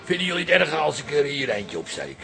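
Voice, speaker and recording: A man playing a sheepish, gravel-voiced café regular and smoker in a Dutch radio comedy drama. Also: on the high side, big pitch swings, quick pace.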